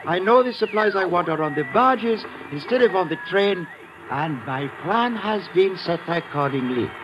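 An actor playing a suave, gloating guerrilla mastermind who speaks with formal, smug politeness in an old radio drama. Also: male voice, high-pitched, lively intonation, over music. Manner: formal, measured, smugly polite. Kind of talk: 1950s radio drama acting. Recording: muffled, noisy vintage radio recording.

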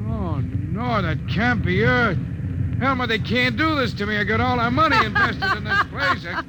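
A man's voice: very high voice, animated, moderate pace, very noisy. A man playing a brash, folksy small-time stand owner in an old radio drama, talking colloquially. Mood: panicked disbelief. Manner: colloquial, boastful. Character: brash, folksy hot dog stand owner, cocky dreamer.

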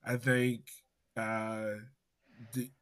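A man's voice: medium pitch, monotone, drawn-out words, a crowd in the background. A man hosting a casual soccer podcast, easygoing, calling the guests "my man". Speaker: podcast host.

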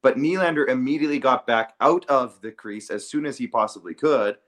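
A man's voice: mid-range voice, brisk, a bit bright. A man co-hosting a sports podcast, casually debating and earnestly repeating himself to press his point.

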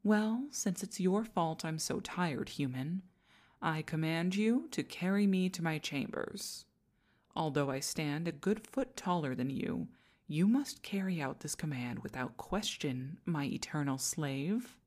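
A woman's voice: deep voice, expressive, unhurried, darkish tone. A woman playing a haughty, easily flustered demon queen in an ASMR roleplay, speaking in imperious, pompous commands. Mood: huffy, commanding. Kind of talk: ASMR roleplay addressed to the listener.

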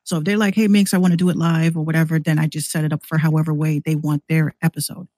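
A woman's voice: low voice, level tone, quick pace, warm tone, dry recording. A woman who streams and podcasts, chatting casually as a guest on a show.

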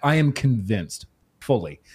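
A man co-hosting a casual podcast chat, jokey and quick to laugh. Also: mid-range voice, breathy edge. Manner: laughs often, animated and jokey. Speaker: podcast co-host.

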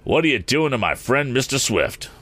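A man's voice: medium pitch, sing-song, moderate pace, fairly bright tone, voice pushed up. A man voicing an older, good-natured country wagon driver in a storybook, cheerful and feisty, with folksy rustic speech. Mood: excited, friendly. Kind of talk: audiobook character acting.

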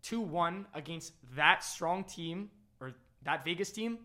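A man co-hosting a live sports podcast, losing his voice after yelling, animated and full of restarts. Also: fairly high pitch, slow. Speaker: podcast co-host. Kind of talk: excited live sports chat.

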